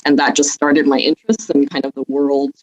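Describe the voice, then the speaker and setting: A woman in her thirties, a native Cantonese speaker from southern China raised in Hawaii, answering interview questions in a measured way with many ums. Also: extremely low pitch, level tone, highs cut off. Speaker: state health official, guest.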